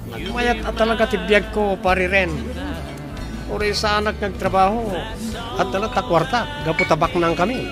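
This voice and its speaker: A man's voice: high-pitched, steady tone, normal speed, over music, lightly acted. A man acting in an Ilocano audio drama.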